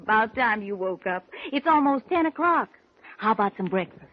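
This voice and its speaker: A lilting, high-voiced hospital nurse. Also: female voice, expressive, moderate pace. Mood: cheerful. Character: brisk, soothing hospital nurse. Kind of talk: 1940s radio drama acting. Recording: tinny, hissy 1940s radio recording.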